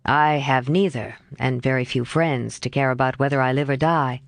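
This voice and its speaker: A woman lowers her voice for a kind young male tutor in a storybook, gentle and a little wistful. The voice is noticeably deeper than her narration, with an unhurried, subdued delivery in a dry recording. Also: no echo.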